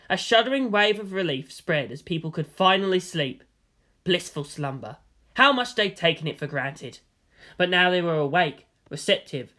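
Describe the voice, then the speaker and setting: A man's voice: sits high, sharp tone. A man reading a story aloud as scripted narration. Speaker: reader of a fan fiction story.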